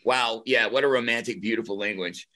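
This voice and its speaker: A man's voice: medium pitch, fairly quick, sharp tone. A man hosting an interview, asking questions and reacting enthusiastically. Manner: enthusiastic, effusive. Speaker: interview host. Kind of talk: casual interview.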